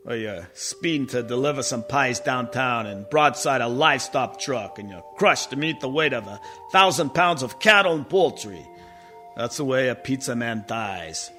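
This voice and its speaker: A man's voice: medium pitch, bright, forceful tone. A man playing a neurotic, exasperated middle-aged mob boss in a comic radio play, whiny and rambling with tough-guy slang. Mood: ominous.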